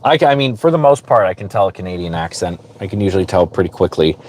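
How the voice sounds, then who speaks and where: A man's voice: low-pitched, fast. A man from Florida chatting casually while driving at night, reading and answering viewer comments in a rambling, easygoing way. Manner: rambling, easygoing, chuckles often. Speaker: streamer searching roads for snakes. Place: inside a car on roads at night. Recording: steady car road noise.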